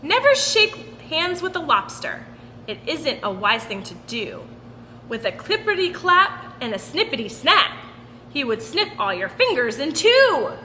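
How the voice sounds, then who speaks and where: A woman reads a children's story aloud in a high, bright voice. Her delivery is expressive and sing-song, and it carries a long echoing reverb. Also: measured pace. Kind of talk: expressive picture-book read-aloud for children. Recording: roomy long reverb with background noise.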